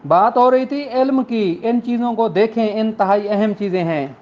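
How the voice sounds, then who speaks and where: A man's voice: high voice, moderate pace, highs cut off. A man with a Pakistani accent lecturing law students in an earnest, rhetorical way, repeating phrases for emphasis.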